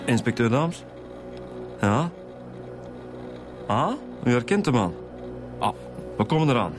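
A man's voice: mid-range voice, expressive, takes it slowly, over music. A man playing a blunt, sceptical police inspector with a Flemish accent in a radio drama, dry and colloquial. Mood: dry, teasing. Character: blunt, sceptical male police inspector, teasing his colleague.

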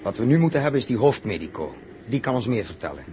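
An actor playing a suspicious, commanding space captain in a 1960s Dutch radio drama, clipped and confrontational. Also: male voice, medium pitch, very animated, fast talker, warm tone, slight background noise, lightly acted. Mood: brisk.

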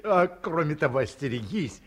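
An actor playing an aged academic in a 1950s radio play, gently fussing and soothing an old friend. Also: male voice, fairly high pitch, expressive, moderate pace. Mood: flustered. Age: older. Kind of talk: 1950s radio drama acting.

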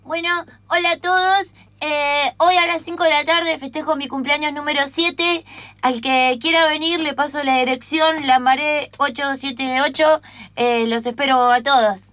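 A high, childlike voice for a needy seven-year-old birthday girl. She chatters and pleads whinily in Rioplatense Argentine Spanish style, as in a radio comedy. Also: fairly even intonation, moderate pace, fairly bright tone. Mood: shy, hopeful. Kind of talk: comedic radio play acting.

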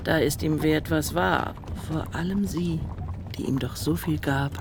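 A narrator in a scripted radio drama, telling a poet's journey in measured, wry, literary phrases. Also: mid-range voice, noisy background, lightly acted.

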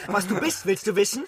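A cheeky defiant young soldier in a radio play, high raspy voice, taunting and worked up. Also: male voice, no echo, studio quality, exaggerated voice. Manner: taunting, excitable. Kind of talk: German radio drama acting.

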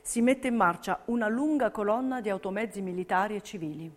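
A woman's voice: medium pitch, brisk. A woman delivering scripted historical narration as a stage narrator. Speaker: narrator in documentary theatre. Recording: long hall reverberation.

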